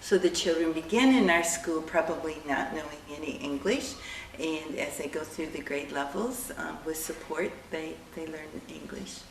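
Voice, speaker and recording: A woman staff member describes her school in a steady, informative way. Her voice is very low for a woman. She is recorded from a distance in an echoing room with faint noise. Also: normal speed, gravelly. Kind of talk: interview answer. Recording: echoey room, distant mic.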